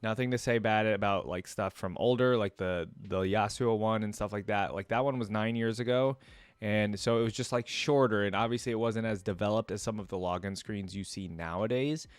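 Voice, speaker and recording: A man hosting a reaction video, thinking out loud in casual, informal and enthusiastic commentary. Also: lowish voice, fairly quick, clean tone, no echo. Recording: music playing behind the voice. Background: game login music.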